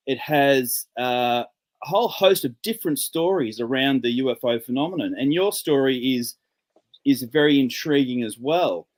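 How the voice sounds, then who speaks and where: A man hosting a casual interview, friendly and rambling, with many restarts. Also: a little high. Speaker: podcast host.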